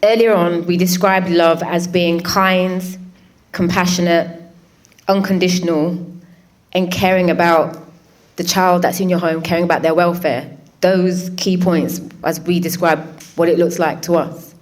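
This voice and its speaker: A woman moderating a conference panel, gently asking questions without hurrying. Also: fairly low pitch, fairly even intonation, a little slow.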